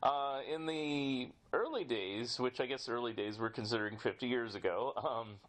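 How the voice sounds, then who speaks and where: Man, mid-pitched voice, podcast interviewer, casual, easygoing, hesitant phrasing. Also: normal speed, fairly bright tone.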